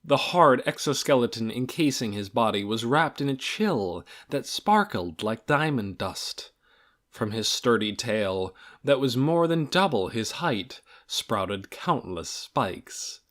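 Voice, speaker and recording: A man reading a fantasy audiobook in a dramatic, measured storytelling manner, with deliberate pauses between phrases. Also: mid-range voice, big pitch swings, a bit bright. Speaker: audiobook reader. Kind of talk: scripted fantasy novel narration.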